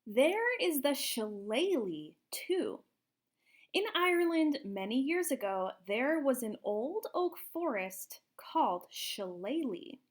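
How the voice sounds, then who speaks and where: A woman reading a picture book aloud to young children in an expressive, explanatory way, like a teacher. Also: high-pitched, slow. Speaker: children's storybook reader, teacher.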